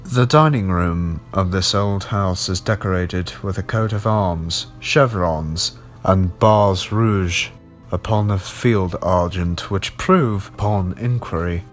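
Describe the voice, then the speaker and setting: A man voicing a learned, knowing gentleman collector in a horror story, lecturing formally and deliberately. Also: lowish voice.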